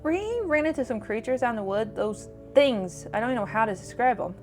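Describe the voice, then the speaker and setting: A woman's voice: on the high side, animated, fairly quick, darkish tone, lightly acted. A woman plays a young small-town investigator in a mystery game. She talks in a casual, earnest, plain-spoken way. Light music sits under the voice. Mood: urgent, earnest.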